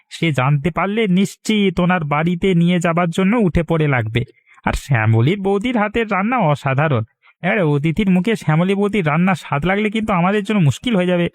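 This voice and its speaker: Guy doing greedy cartoon village husband, high dry voice, scheming and wheedling. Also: no echo, lightly acted. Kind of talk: Bengali cartoon dialogue.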